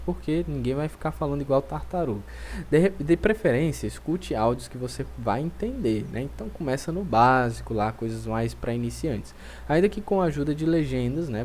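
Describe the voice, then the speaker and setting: A man with a Brazilian Portuguese accent leads an online language lesson in an animated, encouraging way. His voice sits at a middling pitch, and he keeps checking in with his students through short tag questions. A faint background hum sits under the recording. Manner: lively, coaxing, repeats tag questions. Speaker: language teacher.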